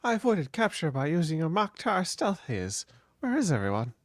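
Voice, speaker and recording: A woman's voice: fairly low pitch, sing-song, normal speed. A woman voicing a meek, reverent alien crewman in a live radio play, formal and awed in delivery. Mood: awed, relieved.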